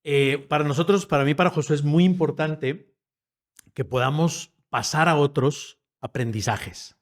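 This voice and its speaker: A man's voice: on the high side, measured pace. Eager male co-host. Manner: eager, hesitant questioning. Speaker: podcast co-host. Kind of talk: podcast interviewing. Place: creative studio in Madrid.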